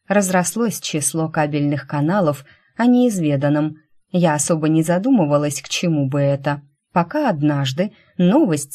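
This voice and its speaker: A woman's voice: deep voice, darkish tone. A woman reading a fantasy novel as a scripted audiobook narration.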